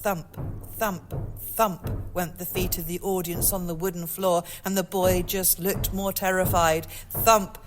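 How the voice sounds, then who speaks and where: A woman author reading a chapter of her own novel in a measured storytelling manner. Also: lowish voice, little pitch movement, music in the background.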